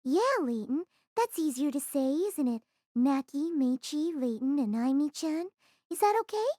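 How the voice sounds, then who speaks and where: Woman voicing a high, chatty teenage schoolgirl. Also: animated, moderate pace, dark tone, dry recording, clean studio sound. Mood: teasing. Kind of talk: anime-style audio drama acting.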